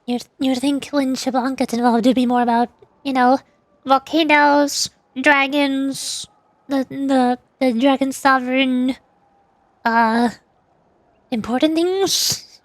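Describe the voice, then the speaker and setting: A woman's voice: sits high, fairly even intonation, takes it slowly, no echo. A woman streamer giving casual, bemused game commentary, musing aloud and stretching words for emphasis. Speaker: VTuber streamer and amateur voice actor. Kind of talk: casual game commentary mixed with character voicing.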